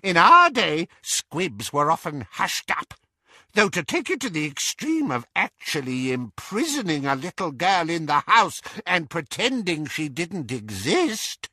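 A man performs an elderly storybook witch with a high, squawky voice. She is a malicious, cackling gossip who talks over everyone, her pitch leaping about as she needles and insinuates. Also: very animated, unhurried, bright, forceful tone, no room sound, exaggerated voice. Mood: gleeful, scornful.

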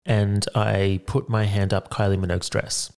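A man's voice: lowish voice, flat delivery, normal speed, vocal fry, a little mellow. A young man co-hosting a casual chat, teasing and dry-humored. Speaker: podcast co-host.

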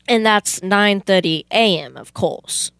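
A woman's voice: mid-range voice, slow, vocal fry, a bit bright, smooth, dry recording, clean studio sound. Young woman as a formal, methodical police detective. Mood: formal, incredulous. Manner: formal, questioning. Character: polite, methodical, puzzled male police detective. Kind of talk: amateur old-time radio theater acting.

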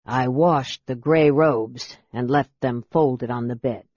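A man voicing a proud, quietly intense young wizard in an audiobook, speaking in a measured, formally polite way. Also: medium pitch, husky, voice pushed down. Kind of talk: audiobook character voice. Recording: roomy echo, slightly dull sound.

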